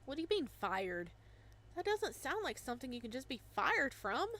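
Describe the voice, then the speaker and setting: A woman voicing a reserved young male florist who answers in a skeptical, deadpan way. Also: high voice, moderate pace, full character voice.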